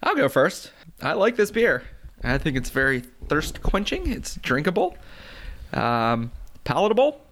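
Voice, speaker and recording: Gravelly Boston man riffing. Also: on the high side, very animated, unhurried, a bit bright. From Boston area. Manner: playful, teasing, riffs on ideas. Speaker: podcast co-host. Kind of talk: casual chat.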